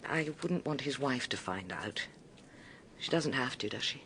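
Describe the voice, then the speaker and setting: A poised Englishwoman in a naturalistic radio play, confiding and regretful. Her voice moves widely in pitch, with careful phrasing and small hesitations. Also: lively intonation, unhurried, fairly bright tone, slight background noise, heavily acted. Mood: pleading, regretful. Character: adult female office colleague, confiding, regretful, gently forward. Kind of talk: naturalistic British radio drama acting.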